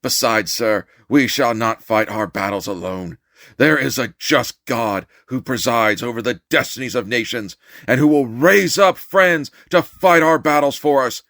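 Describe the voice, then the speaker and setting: A man's voice: mid-range voice, a little slow, a bit bright, no echo, studio quality. A man performing a fervent American revolutionary orator in a dramatic reading, with an impassioned, declamatory, emphatic delivery. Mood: stirring, resolute. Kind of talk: dramatic reading of an 18th-century political speech.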